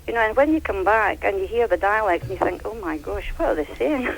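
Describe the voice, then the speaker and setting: A woman's voice: mid-range voice, expressive, fast talker, vocal fry, slight background noise, low-quality recording. An older Scottish woman calling in, telling stories in a chatty, laughing way. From Scotland. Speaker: caller. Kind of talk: phone-in chat.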